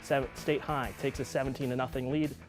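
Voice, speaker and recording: A man working as a sports anchor, narrating game highlights from a script with an emphatic play-by-play delivery. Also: little pitch movement, brisk, soft background music.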